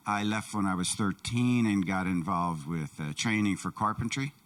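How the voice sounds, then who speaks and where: Deep-voiced male interpreter, heard from afar. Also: normal speed, a bit bright, recorded from a distance. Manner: plain, brief answers. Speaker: interpreter voicing a deaf guest's signing. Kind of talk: voicing answers in an interview. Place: church sanctuary.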